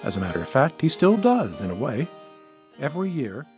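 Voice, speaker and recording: Animated, playful storytelling father. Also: medium pitch, a little fast, faint music behind. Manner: theatrical, teasing, expansive. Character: genial, playful middle-aged father telling holiday history. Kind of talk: radio drama acting, storytelling.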